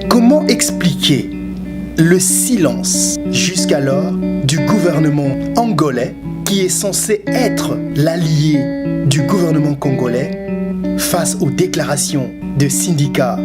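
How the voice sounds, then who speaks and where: A woman with a low voice reads a scripted documentary narration. She frames points as measured rhetorical questions. Loud plucked-string music plays beneath her. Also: lively intonation.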